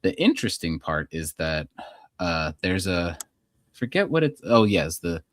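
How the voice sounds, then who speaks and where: A man's voice: sits low, sing-song, a little slow, dry recording. An American man co-hosting a podcast, chatting about politics in an informal, opinionated way peppered with filler likes. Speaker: podcast co-host. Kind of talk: casual political chat.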